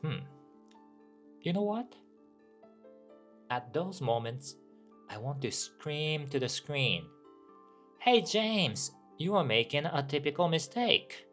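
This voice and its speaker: A man's voice: on the high side, very animated, takes it slowly, pauses often, fairly bright tone, soft background music. A Japanese man with a Japanese accent, a campus minister giving a scripted explainer talk with rhetorical questions and asides.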